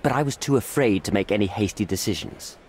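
Actor as a defensive envoy, animated delivery. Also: male voice, on the low side, fast, slight background noise, natural voice. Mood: anxious, pleading. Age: middle-aged. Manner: defensive, placating, formal. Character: cornered middle-aged British envoy, evasive, smooth-talking, pleading. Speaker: British envoy. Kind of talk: video game cutscene acting.

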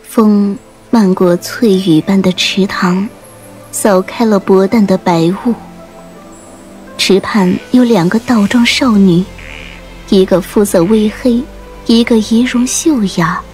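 A woman reading a dramatic audiobook story in a measured, literary storytelling style. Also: medium pitch, a little mellow. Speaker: audiobook narrator. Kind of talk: dramatic scripted storytelling narration. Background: faint music.

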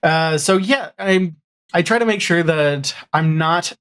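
A man's voice: a little high, a little slow, fairly bright tone, clear, resonant tone. A middle-aged or younger man chatting casually as a podcast guest, rambling a little as he thinks aloud. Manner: rambling, thinks aloud, often ends on "right?". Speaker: guest, audio drama showrunner. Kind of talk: casual chat. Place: home room, video call.

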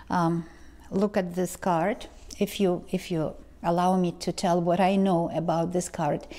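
A woman, talking slowly to camera as a tarot reader, pausing and restarting often. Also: fairly low pitch, a little mellow. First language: Ukrainian or Russian. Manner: slow, halting, many restarts and ums. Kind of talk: casual vlog talk to camera. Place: living room indoors. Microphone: lapel mic.

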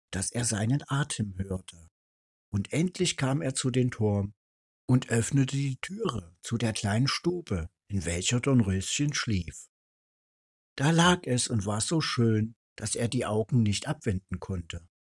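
A man's voice: mid-range voice, a little mellow, slightly rough, no room sound, studio quality. A man reading a fairy tale aloud as a storyteller.

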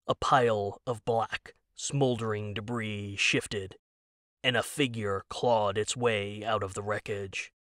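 Guy narrating a dramatic story, takes it slow n suspenseful, really dry recording. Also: fairly low pitch, a bit bright, dry recording. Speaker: audiobook narrator.

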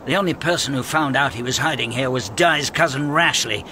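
An actor playing a genial, chatty magistrate in a radio drama, knowing and sly. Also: male voice, medium pitch, brisk, pushed and bright, a little hiss or hum. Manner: chuckling, knowing, conversational.

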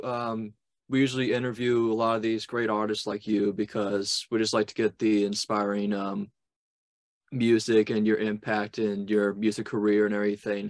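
A man, hosting a casual interview in an informal, halting way. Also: on the low side, dry recording. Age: 20s. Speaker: webcast host, interviewer. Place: plain indoor room. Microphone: video call.

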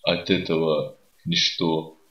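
Male nihilist radio guest, acted: dour, unhurried, dry sarcasm for comedy, muffled sound. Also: rumbling low, heavily acted. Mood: weary, gloomy. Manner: unhurried, sardonic, deadpan. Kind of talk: comedy radio sketch acting. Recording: roomy, dull lo-fi sound.

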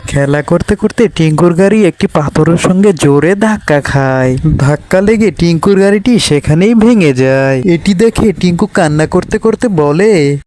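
A man's voice: fairly high pitch, animated, normal speed, darkish tone. A man narrating scripted children's cartoon stories. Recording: music behind the voice.

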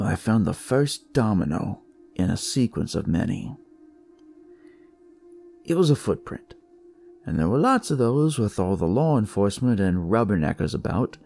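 Guy playing a crude weary detective telling a noir story, gravelly low-key voice, wry, faint music behind. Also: mellow, full character voice. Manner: wry, conversational, world-weary. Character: jaded, crude male police detective telling his story. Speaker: narrator. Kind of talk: noir first-person scripted narration.

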